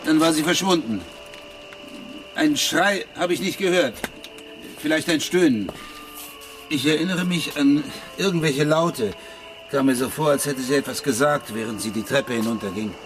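An actor playing a troubled, guilt-ridden ex-detective who answers tersely and defensively in a German radio crime drama. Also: male voice, on the high side, moderate pace, close to an everyday voice. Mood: haunted, recalling. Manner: terse, clipped recollection. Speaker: client being questioned. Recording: studio radio play with music underneath. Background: music.

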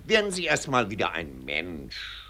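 An actor playing a brisk, paternal older householder in a radio play, blustering and patronising. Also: male voice, low-pitched, wildly swooping pitch, pushed and bright, full character voice. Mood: patronising, amused. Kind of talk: post-war German radio play acting.

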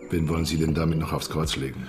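Very deep, big underworld boss. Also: male voice, fairly even intonation, fast, music in the background. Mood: sardonic. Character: big, fat male brothel owner, underworld insider. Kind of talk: German radio crime drama acting.

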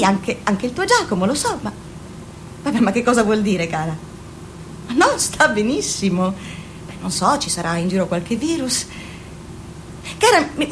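A woman playing an anxious, overbearing middle-aged mother in a radio play, swinging between shrill cries and hushed dismay. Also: a little hiss or hum.

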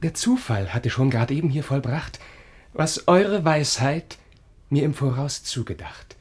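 An actor playing an ardent young lover and dutiful son, declaiming formally in a German verse radio play. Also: male voice, medium pitch, expressive, unhurried, breathy edge. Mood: ardent, explaining.

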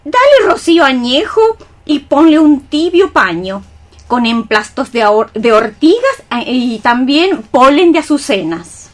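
A woman's voice: high voice, big pitch swings, measured pace. A woman playing a solemn old cockroach sorceress, declaiming poetic lines in a radio play. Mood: caring, instructing. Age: older. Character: ailing old female cockroach sorceress, ominous healer and friend. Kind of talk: poetic radio theatre acting.

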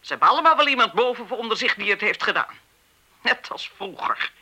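A woman's voice: a little high, very animated, brisk, sharp tone, slightly rough, heavily acted. A woman playing an embittered, guilt-ridden retired schoolteacher in a radio play, stern and lecturing in manner. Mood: righteous anger. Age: older. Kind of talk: Dutch radio play acting.

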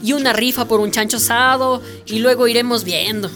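A woman's voice: on the high side, fairly quick, over music, natural voice. A woman playing an eager, determined community organizer in a radio drama, speaking confidently. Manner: confident, enthusiastic.

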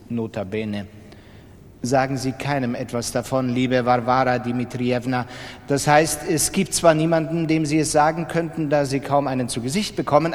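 Actor as confiding letter-writing gambler, reverberant hall. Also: male voice, on the high side, lightly acted. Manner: confiding, conversational, articulate. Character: male 19th-century gambling writer reading his confiding letter. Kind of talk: dramatic literary reading of a letter.

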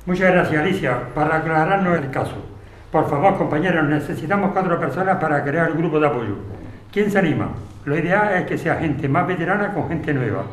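A man plays an orderly assembly chairman in a radio drama. His voice sits fairly high for a man and rings in an echoey room as he runs the meeting. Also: animated, fairly quick, lightly acted. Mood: businesslike. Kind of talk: amateur radio drama acting.

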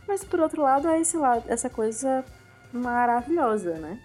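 A woman podcast host talking casually, pausing to search for words. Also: fairly high pitch, animated, a little mellow, soft background music. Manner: conversational, searches for words, drawn-out fillers.

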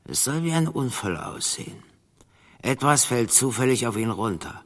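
An actor playing a cold, curt crime boss who gives orders with authority in a German radio crime drama. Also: male voice, mid-range voice, lively intonation, normal speed, fairly bright tone. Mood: cold, commanding. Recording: long hall reverb.

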